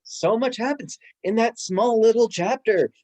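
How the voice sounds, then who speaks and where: A man's voice: high voice, unhurried, darkish tone. A man chatting casually and enthusiastically in a book discussion. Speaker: book club guest, bookstore worker.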